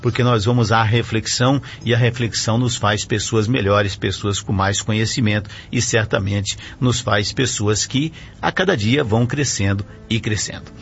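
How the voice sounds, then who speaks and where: A man's voice: on the low side, normal speed, gravelly, few pauses. Chatty male radio pastor, lo-fi sound. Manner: chatty, explanatory, often adds "né?". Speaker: radio program host and pastor. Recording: lo-fi radio broadcast with faint music.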